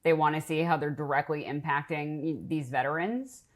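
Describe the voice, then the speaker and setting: A woman's voice: low voice, steady tone, normal speed. A middle-aged woman from the Boston area, a nonprofit leader talking candidly and with humor in an interview. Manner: candid, self-deprecating, upbeat. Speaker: nonprofit CEO, guest. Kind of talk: casual interview talk.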